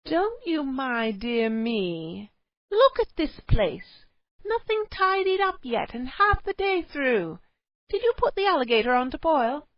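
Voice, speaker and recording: Woman putting on a shrill scolding cave housewife. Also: big pitch swings, normal speed, dry recording, dull audio. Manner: shrill, fussing, exclamatory. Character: fussy scolding cave housewife, middle-aged.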